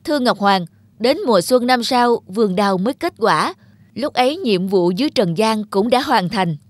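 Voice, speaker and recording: A man playing a wind god who serves heaven, formal and forceful, in a cartoon fairy tale. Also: sits high, lively intonation, normal speed, no echo. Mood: deferential. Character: male wind god, deferential courtier, harsh enforcer. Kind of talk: animated fairy tale acting.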